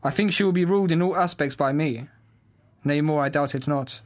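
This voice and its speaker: A man's voice: fairly high pitch, fairly quick, lightly acted. A man playing a domineering, hot-tempered noble father, commanding and declamatory, in a Shakespeare audio drama. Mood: eager, commanding.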